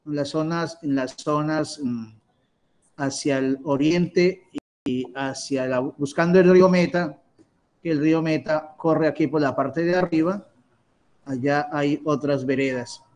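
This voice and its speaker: Middle-aged priest, high-ish male voice, unhurried, halting presentation style. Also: a little mellow. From Colombia. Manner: halting, with many restarts and fillers. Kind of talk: presentation explaining a map.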